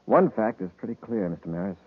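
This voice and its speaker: Space commander: authoritative, analytical, husky and fast, fifties radio serial style. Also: male voice, fairly low pitch, expressive. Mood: probing, measured. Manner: brisk, grave, analytical. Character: calm, authoritative male space patrol commander. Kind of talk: 1950s radio serial acting. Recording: muffled narrow-band old radio recording.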